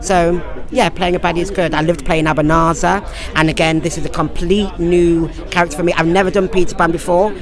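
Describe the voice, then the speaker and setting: A man's voice: fairly high pitch, fairly even intonation, a little fast, few pauses. An English man from Lincoln chatting effusively and jovially as a pantomime actor being interviewed. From Lincoln, England. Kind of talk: casual interview chat. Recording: loud crowd chatter behind.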